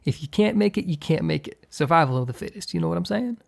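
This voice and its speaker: A man playing a gruff, short-tempered mob boss in an ASMR roleplay, with a gravelly, husky voice. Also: a little high, expressive, fast. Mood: commanding, businesslike. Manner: commanding street-tough slang with crew, flustered hushed stammer with partner. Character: gruff, short-tempered male mob boss hiding his work from partner.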